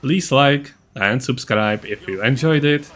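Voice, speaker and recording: A man's voice: mid-range voice, unhurried, fairly bright tone. Man narrating a gaming build guide. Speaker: gaming video creator.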